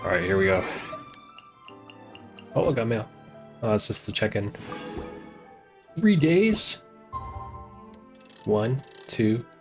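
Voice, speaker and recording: A woman streaming a game with an exceptionally low voice. She talks slowly in casual, wry reactions, with faint game music behind her. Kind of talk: casual live gameplay commentary.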